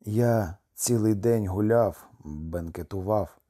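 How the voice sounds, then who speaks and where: A man's voice: mid-range voice, big pitch swings, moderate pace, dark tone. A man voicing a brooding husband from a storybook, stern and desperate, with an emphatic delivery. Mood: grim, sullen.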